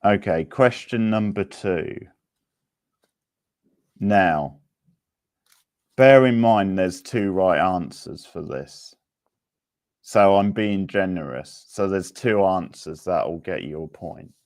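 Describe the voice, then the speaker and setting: A man hosting a casual live quiz, chatting informally with players and reading out options deliberately. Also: low voice, darkish tone. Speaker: quiz host.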